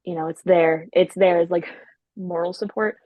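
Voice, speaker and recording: A woman's voice: lowish voice, steady tone, normal speed, warm tone, no room sound. A woman comedian guesting on a podcast, talking casually with a stutter, repeating sounds and restarting words, and swearing freely. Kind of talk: casual chat.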